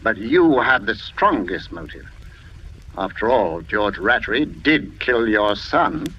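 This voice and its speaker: An actor playing a composed police inspector in an old radio drama, formal, deliberate and insinuating. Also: male voice, on the low side, very animated, pushed and bright, exaggerated voice. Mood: accusing, calm. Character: composed, probing police inspector, firm and methodical. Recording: muffled old radio recording, faint noise.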